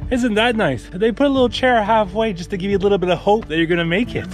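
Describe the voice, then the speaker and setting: An upbeat young man with a slightly high voice, vlogging outdoors on a forest trail with the camera held at arm's length. He narrates cheerfully and jokes about the climb, with soft music playing underneath. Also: fast talker. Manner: upbeat, joking about the stairs. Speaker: travel vlogger. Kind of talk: travel vlog narration.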